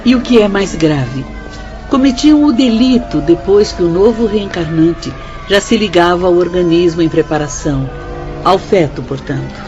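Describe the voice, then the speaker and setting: Actress as a low-voiced, stern spirit teacher. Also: female voice, animated, normal speed, warm tone, muffled recording, faint music behind, lightly acted. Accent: Brazilian. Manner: solemn, preaching, formal. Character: stern, sorrowful female spirit instructor condemning sinners. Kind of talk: radio drama acting.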